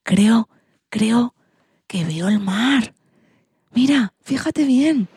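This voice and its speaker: Casting: woman to play playful monkey sidekick for a kids' tale, bossy, excitable, raised husky voice. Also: fairly high pitch, drawn-out words, dry recording, studio quality, voice pushed up, exaggerated voice. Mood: excited. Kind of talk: children's story dramatization.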